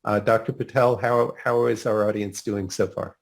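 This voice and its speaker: A man's voice: lowish voice, fairly even intonation, moderate pace, creaky. A man moderating a medical webinar, reading notices and hesitating with fillers.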